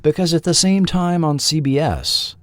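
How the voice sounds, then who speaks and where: A man's voice: on the high side, moderate pace. A man narrating a scripted documentary as its host.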